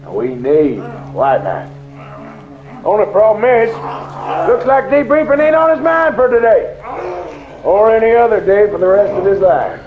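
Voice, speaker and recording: An actor playing a brash, cruel military officer in a war game cutscene, taunting and profane. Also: male voice, sits high, huge pitch swings, moderate pace, long pauses. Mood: sardonic. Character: brash, mocking American military officer, hard-bitten and cruel. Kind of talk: video game cutscene acting. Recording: echoey, dull, noisy game audio.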